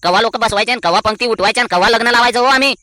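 A man's voice: very high voice, racing, pushed and bright, no room sound. A man playing a touchy, quarrelsome uncle in a cartoon comedy, complaining indignantly with a rural Maharashtrian accent. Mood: angry, scolding.

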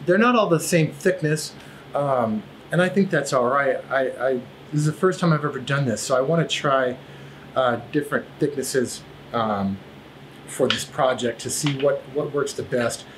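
A man's voice: medium pitch, normal speed, slight background noise, low-quality recording. A man in his thirties hosting a casual woodworking vlog in his home shop, talking with many fillers and restarts, recorded by a camera a few feet away. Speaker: woodworking channel host.

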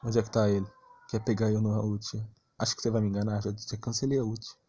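A man's voice: on the low side, level tone, fast talker, warm tone, muffled recording. A man with a Brazilian accent giving casual, slangy, blunt gaming commentary with mild cursing. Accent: Brazilian Portuguese. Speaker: gameplay streamer.

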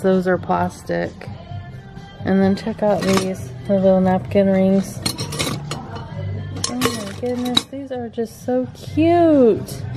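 A middle-aged woman, filming a chatty shopping vlog in a home decor store and gushing over cute items on a handheld camera. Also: medium pitch. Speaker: vlogger. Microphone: handheld camera mic.

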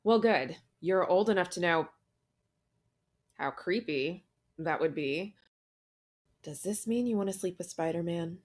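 A woman's voice: fairly low pitch, expressive, a little slow, long pauses. A woman playing a sassy, stubborn young female archer sidekick with dry, snarky delivery. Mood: dry, insistent. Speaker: voice actor in a fan motion comic. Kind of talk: comic-book dialogue acting.

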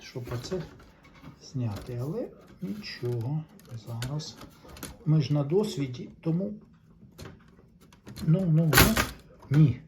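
A man's voice: fairly high pitch, animated, slow pace, long pauses, background noise. A man giving casual hands-on commentary, chatting to viewers and thinking aloud as he works. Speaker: host of a tape recorder restoration video.

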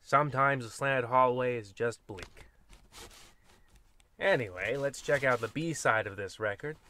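A man's voice: medium pitch, a little slow, pushed and bright. Wry man hosting a spooky radio comedy. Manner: rambling, wry asides, folksy. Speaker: host and storyteller of a radio anthology. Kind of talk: old-time horror radio host, comedic narration.

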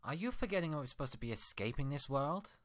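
A man's voice: on the high side, expressive, fast talker, a bit bright, pitched above the performer's own voice. A man voicing the sarcastic, mocking mirror reflection of a female unicorn. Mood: cold dismissive. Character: sneering mocking mirror twin of a unicorn mare.

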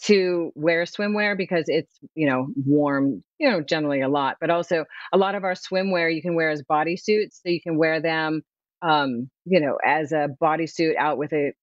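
A woman's voice: sits low, normal speed, no echo. Businesswoman on a dull video call. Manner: lively, chatty, laughs easily. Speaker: swimwear brand founder, guest. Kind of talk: promotional interview chat. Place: home room, remote video call.

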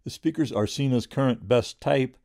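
Reviewer narration: middle-aged male, mellow tone, very dry recording, measured and informative. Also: medium pitch, vocal fry, no room sound. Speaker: product reviewer.

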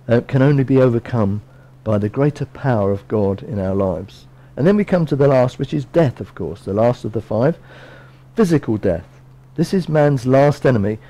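A middle-aged man giving a sermon from a lectern in a small bright room, earnestly explaining and reading scripture verses aloud. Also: medium pitch, rough recording. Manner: measured, earnest, reads verses aloud from a book. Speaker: preacher.

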